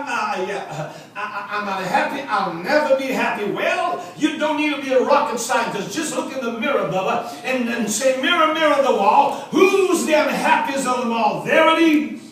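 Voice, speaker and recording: A man's voice: very high voice, a bit bright. A man preaching a revival sermon in English, his first language Afrikaans, with rhythmic repetition that builds to emphatic calls and joking asides to the congregation. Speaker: visiting preacher. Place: church sanctuary. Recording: echoey church, from a distance.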